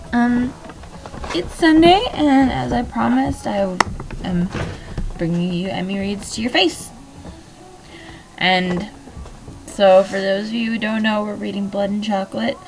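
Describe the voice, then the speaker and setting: Hoarse young woman chatting and reading aloud. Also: medium pitch, steady tone, takes it slowly, long pauses, a little hiss or hum, rough recording. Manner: rambling, chatty, many fillers. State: hoarse, losing voice. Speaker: host reading a novel aloud. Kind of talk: casual vlog chat and book reading.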